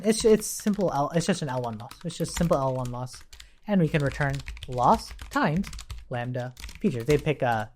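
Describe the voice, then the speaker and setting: Man, programming instructor, animated pitch movement, informal tutorial delivery, thinking out loud. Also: slight background noise. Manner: informal, thinking aloud while typing. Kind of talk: casual coding tutorial narration.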